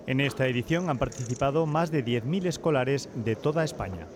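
Mid-pitched male news reporter. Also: brisk, background noise. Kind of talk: scripted news narration.

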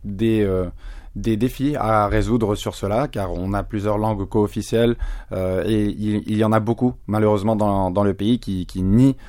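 Man from spain interviewing in french, lowish voice, mellow, dry close recording, hesitant phrasing. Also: fairly even intonation, dry recording. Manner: measured questions with frequent hesitations. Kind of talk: festival interview.

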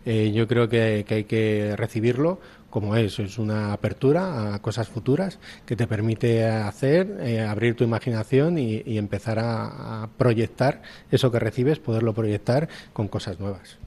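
A man's voice: lowish voice, moderate pace, mellow. A man, a chef answering interview questions and searching a little for his words. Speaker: professional chef, guest.

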